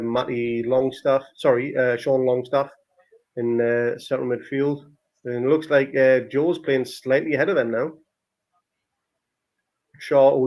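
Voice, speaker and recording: A man's voice: mid-range voice, darkish tone. A man hosting a live football watchalong, giving casual, partisan commentary on play as it happens, with exclamations. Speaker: football watchalong podcast host.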